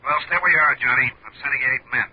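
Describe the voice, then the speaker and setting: An actor playing a decisive, resolute boss with a terse, commanding manner in a 1950s radio drama. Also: male voice, medium pitch, very fast, lightly acted. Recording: dull 1950s radio recording, faint noise.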